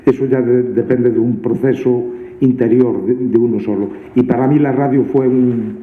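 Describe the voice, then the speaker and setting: A man giving a reflective, somewhat halting personal testimonial. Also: medium pitch, steady tone. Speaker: community radio participant. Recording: phone-like, echoey playback.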